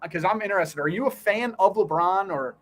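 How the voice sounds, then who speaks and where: Guy doing live play by play n joking around with his cohost, voice a bit high, some noise behind him. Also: a little fast. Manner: banters and teases his co-host. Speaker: host of a sports livestream. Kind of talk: live play-by-play and casual sports chat.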